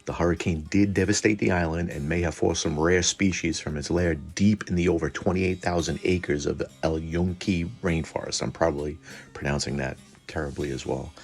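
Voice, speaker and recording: Man, very low husky voice, colloquial and irreverent, semi-scripted lore commentary. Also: fairly even intonation, normal speed. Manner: colloquial, irreverent, mildly profane. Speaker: host of a cryptid and tabletop lore channel. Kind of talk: semi-scripted deep-dive commentary, casual asides.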